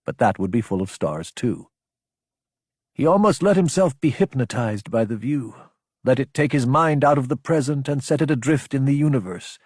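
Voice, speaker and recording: A male audiobook narrator reads dramatic fiction. His voice sits at a middle pitch with a husky edge, and the recording is very dry, like a small treated studio. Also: lively intonation, normal speed, a little mellow, no room sound.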